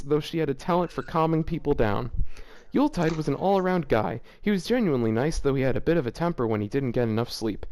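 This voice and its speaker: A man's voice: a little high, a little mellow. A man hosting a show, reading fanfiction aloud casually and breaking off for amused, mocking asides. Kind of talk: casual reading with joking commentary.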